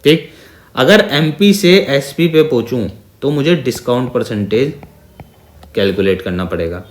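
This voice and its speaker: A man's voice: medium pitch, measured pace. Echoey Indian man teaching maths step by step. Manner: didactic, with frequent check-in questions and repeated phrases; mixes Hindi with English terms. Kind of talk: exam-prep lesson, working through problems step by step. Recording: echoey, reverberant room sound.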